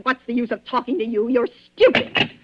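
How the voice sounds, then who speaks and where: A woman's voice: a little high, animated, brisk, noisy background, close to an everyday voice. An actress playing a nervous, imperious invalid woman, querulous, demanding and melodramatic, in the style of old-time radio drama. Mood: irritated, indignant. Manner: melodramatic, querulous, breathless exclamations. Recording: dull, lo-fi vintage radio recording.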